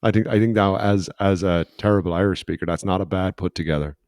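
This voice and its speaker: A man's voice: sits low, fast, darkish tone, dry recording, clean studio sound. A man co-hosting a podcast, teasing and laughing in stop-start casual chat. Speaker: podcast co-host. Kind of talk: casual banter.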